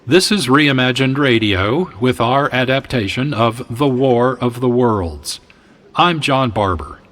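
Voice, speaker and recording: A man with a medium-pitched voice serves as a radio drama host. He reads scripted introductions formally, at a slow and measured pace. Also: expressive, fairly bright tone. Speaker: host and announcer.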